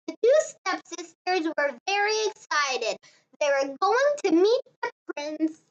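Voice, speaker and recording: High-pitched child reading a fairy tale slowly. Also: sing-song, muffled recording. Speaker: story reader.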